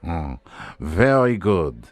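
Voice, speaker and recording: An actor playing a self-assured French doctor and hypnotist in a radio drama, measured, theatrical and commanding. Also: male voice, low-pitched, big pitch swings, dry recording, exaggerated voice. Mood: commanding, hypnotic.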